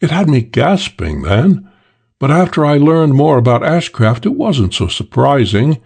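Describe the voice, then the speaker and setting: A man voicing a suave, self-assured English gentleman thief telling a wry crime story for an audiobook, with old-fashioned British slang. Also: a little high, very animated, a little slow, creaky, slightly rough, heavily acted. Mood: tense, composed.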